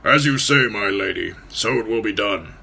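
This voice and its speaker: A man voicing a gruff, barrel-chested lord in an audiobook, speaking formally. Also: fairly low pitch, expressive, moderate pace, bright, forceful tone, exaggerated voice. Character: gruff massive middle-aged lord, stiff.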